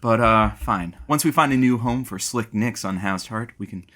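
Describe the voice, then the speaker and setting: Dry, wry radio producer. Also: male voice, fairly low pitch, moderate pace, pushed and bright. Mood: wry, sceptical. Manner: dry, sarcastic. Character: wry, teasing male radio producer and sidekick. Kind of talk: casual banter in an audio drama.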